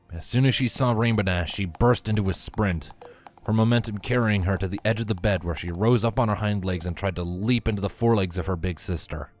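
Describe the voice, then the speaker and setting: A man's voice: deep voice, a little mellow. Man narrating, faint music behind. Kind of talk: scripted story narration.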